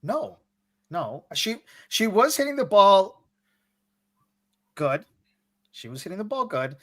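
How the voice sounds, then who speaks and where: A man co-hosting a casual sports podcast, talking in a halting way with frequent restarts. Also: high voice, very animated, no echo, clean studio sound. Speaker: podcast co-host.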